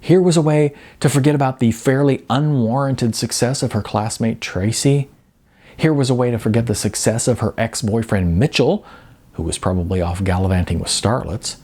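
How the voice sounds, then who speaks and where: A man narrating fiction as an audiobook reader, in a dramatic, expressive and emphatic way. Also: medium pitch, moderate pace, creaky, husky.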